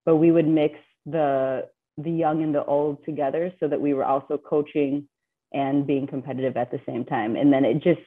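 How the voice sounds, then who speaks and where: A woman's voice: low voice, fairly even intonation, normal speed. A woman in her thirties, from the Philippines and raised in the US, talking casually as a guest on a video call, with frequent laughs and fillers. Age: 30s. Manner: chatty, laughs often, many fillers and restarts. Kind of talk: casual interview answers. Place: home office with bookshelves. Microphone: webcam on a video call. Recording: tinny, phone-like video call audio.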